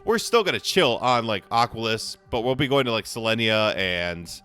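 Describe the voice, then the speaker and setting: A young man with a hoarse voice, chatting casually as he plays a game for his channel, rambling with frequent restarts. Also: on the low side, animated, normal speed, pushed and bright, faint music behind. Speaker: gaming channel host. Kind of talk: casual gameplay commentary.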